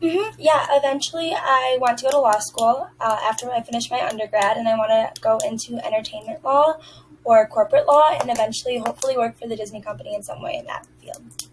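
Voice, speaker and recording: A woman's voice: a little high, fairly even intonation, fast talker, a little hiss or hum, poor audio. A young woman giving polite, agreeable answers in a conversational phone interview, with informal phrasing. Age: young adult. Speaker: job applicant being interviewed.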